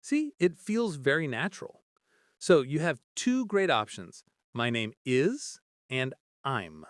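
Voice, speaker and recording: A man's voice: medium pitch, sing-song, slow pace, dry recording, clean studio sound. A man co-hosting a scripted, conversational English lesson in an inquisitive, explanatory way. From Canada. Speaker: podcast co-host.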